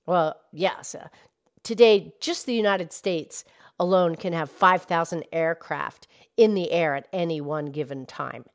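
A woman co-hosting a podcast, explaining things in a measured, matter-of-fact way. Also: lowish voice. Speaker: podcast co-host.

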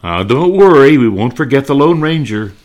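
A man's voice: medium pitch, big pitch swings, moderate pace, darkish tone, dull audio. A man narrating nostalgically, with theatrical delivery and impressions of catchphrases. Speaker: narrator reminiscing about old-time radio.

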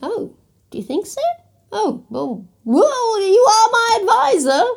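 Woman doing a squeaky, fussy king. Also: very animated, takes it slowly, vocal fry, full character voice. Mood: flustered. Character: excitable fussy king, adult man. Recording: guitar music behind.

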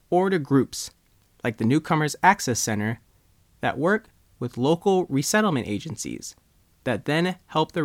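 A man reading a scripted news story as a reporter, in measured, short phrases. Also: medium pitch, no room sound, studio quality. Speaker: news reporter and writer reading own article.

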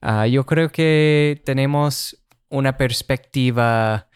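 Give me a man speaking as a second-language podcast co-host, slow and smooth-voiced. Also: medium pitch, no echo, clean studio sound. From United States. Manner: hesitant, searching for words with fillers. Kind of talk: casual chat for learners.

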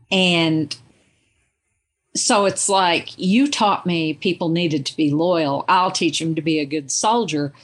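Slow-paced, thoughtful woman in conversation. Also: medium pitch. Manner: thoughtful, reflective, deliberate. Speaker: guest discussing fiction. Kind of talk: conversational literary discussion.

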